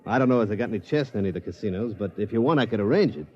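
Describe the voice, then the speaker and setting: An actor playing a brash, wheedling hustler at a spaceport, in 1950s radio drama style. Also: male voice, lowish voice, animated, rapid-fire, slightly rough. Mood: pushy. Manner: brash, wheedling street patter. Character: fast-talking, slick male spaceport hustler. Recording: dull old radio transcription.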